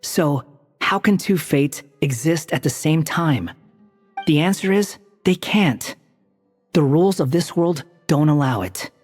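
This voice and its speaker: A woman's voice: low voice, animated, measured pace, darkish tone, slightly rough, exaggerated voice. A woman playing a sly, self-assured game character who explains things in a dry, teasing way. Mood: wry. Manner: dry, teasing, matter-of-fact. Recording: game dialogue with long reverb and music.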